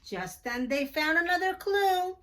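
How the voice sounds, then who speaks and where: A woman reading a picture book aloud to children slowly, in a sing-song, emphatic storytelling manner. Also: very high-pitched, big pitch swings, fairly bright tone.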